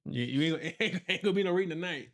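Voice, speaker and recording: A man's voice: fairly high pitch, quick pace, a bit bright. A man co-hosting a podcast with his wife, bantering playfully and teasing her. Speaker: podcast co-host. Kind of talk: casual chat.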